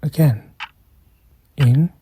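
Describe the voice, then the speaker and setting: A meditation guide speaking softly and soothingly, in measured phrases with long pauses and a breath that shows at times. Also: medium pitch, a little hiss or hum. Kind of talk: slow guided meditation narration.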